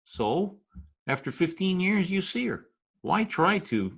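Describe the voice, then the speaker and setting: A man's voice: sits high, big pitch swings, slow, creaky, fairly bright tone, husky, heavily acted. A man playing a wry, skeptical Broadway wise guy in a 1940s-style radio drama, wisecracking in stiffly formal slang. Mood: surprised, probing. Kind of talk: old-time radio drama, present-tense slangy narration and dialogue. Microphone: video call recording.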